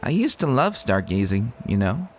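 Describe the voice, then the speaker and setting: A man's voice: lowish voice, big pitch swings, normal speed. A man voicing a brash, playful young female pegasus pony with a breezy, cheeky manner in a fan fiction reading. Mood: musing, breezy. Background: music.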